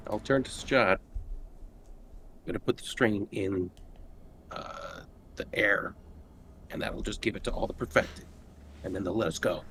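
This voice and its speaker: A man's voice: on the low side, normal speed, long pauses, fairly bright tone, slight background noise. A man playing in a tabletop roleplaying game, talking casually at the table as a player.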